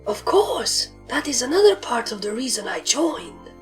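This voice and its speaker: A woman voicing an earnest bat pony mare recruit with a soft, breathy, quiet voice in a story reading. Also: fairly high pitch, very animated, moderate pace, soft background music, pitched above the performer's own voice. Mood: eager.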